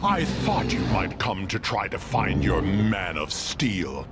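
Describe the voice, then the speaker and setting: An actor playing a haughty, threatening alien war general with a pompous, booming manner in a game cutscene. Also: male voice, very animated, takes it slowly, loud music behind the voice, heavily acted. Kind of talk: animated video game cutscene acting.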